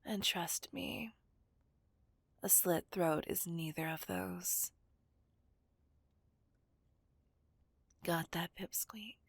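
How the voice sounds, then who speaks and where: A woman's voice: on the low side, takes it slowly, gravelly, slightly rough, no room sound, full character voice. A woman playing a dominant, taunting female villain in an ASMR roleplay, whispering breathily and possessively to a hostage. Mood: cold, threatening.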